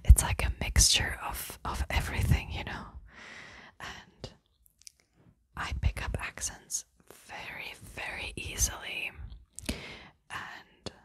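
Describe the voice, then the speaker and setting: A woman's voice: slow, pauses often, dry recording. A young woman from the Czech Republic, whispering ASMR chat into a small fluffy mic held at her lips, her accent a mix of American and British. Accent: mixed American and British, picked up from media. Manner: soft whispering close to the mic, chatty and rambling. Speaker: ASMR creator. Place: small room in front of a bookshelf. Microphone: fluffy mini mic held at the mouth.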